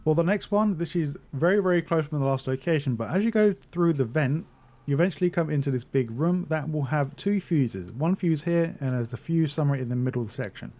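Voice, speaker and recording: A man's voice: a little high, a little fast, hardly any pauses, mellow. A man giving a casual video game walkthrough as a guide narrator.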